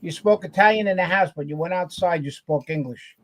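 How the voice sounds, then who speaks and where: A man's voice: high voice, a little fast. A man in a casual panel chat, reading from the chat, hesitant with many fillers.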